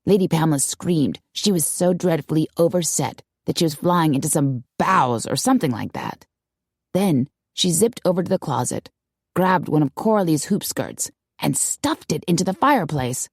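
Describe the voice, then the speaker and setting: A low-voiced, sardonic young woman, as a female narrator plays her in a storybook tale. She drawls drily and relishes every bit of gossip, with a soft-edged tone that swings expressively. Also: lively intonation, normal speed, slightly rough, no room sound, clean studio sound, heavily acted. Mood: gleeful gossip.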